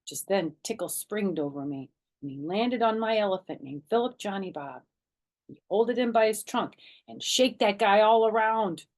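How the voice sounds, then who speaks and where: A woman narrates a children's book at storytime. Her voice sits at a medium pitch, and she tells the story in an animated, dramatic way, with plenty of expression. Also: moderate pace.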